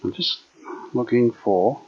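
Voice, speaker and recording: A man restoring an old radio, chatting casually as he works, unhurried and full of fillers. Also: mid-range voice, husky. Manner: unhurried, thinking aloud with many fillers. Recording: dull lo-fi workshop recording.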